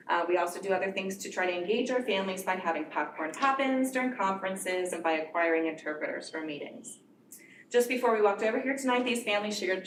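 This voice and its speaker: A woman teacher of English learners giving an earnest prepared speech at a board meeting. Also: medium pitch. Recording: echoey room from far off, noisy.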